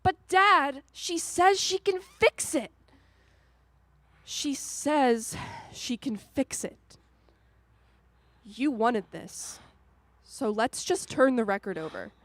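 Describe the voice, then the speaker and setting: A high, close and dry voice of a young daughter in a radio play, acted by a woman. She speaks slowly and with raw feeling, hurt and determined as she confronts and pleads with her father. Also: lively intonation, fairly bright tone, no room sound, full character voice. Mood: pleading, determined. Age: young adult. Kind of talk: radio drama acting.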